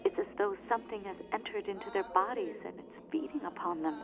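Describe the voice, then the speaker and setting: A worried female client, voiced by an actress speaks earnestly and uneasily in a radio drama. The played-back sound is dull and muffled, with clear music behind it. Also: mid-range voice, quick pace, lightly acted.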